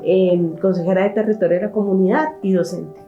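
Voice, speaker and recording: A woman with a Bogotá accent introduces herself in a medium-pitched voice. The sound is echoey and narrow like a phone, with faint music. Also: steady tone, fairly quick. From Bogotá, Colombia. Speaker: community councillor and teacher. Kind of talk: self-introduction.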